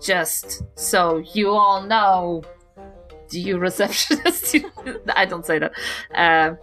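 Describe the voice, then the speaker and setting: Unhurried, bright-voiced New woman. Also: lowish voice, faint music behind. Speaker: player in a tabletop game podcast. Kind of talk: casual table chat.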